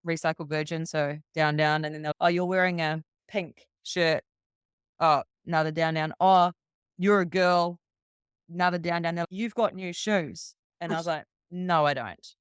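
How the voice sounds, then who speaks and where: A woman from New Zealand with a New Zealand accent, guesting on a podcast and telling a long, brisk travel story full of quoted dialogue. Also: low voice, clear, resonant tone, no room sound, studio quality. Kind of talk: casual storytelling.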